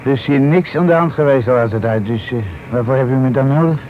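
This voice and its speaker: An actor playing a cheeky, evasive small-time crook in a radio drama, mock-polite and streetwise. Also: male voice, medium pitch, brisk, lightly acted. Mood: wary, defiant. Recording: dull, noisy old radio play recording.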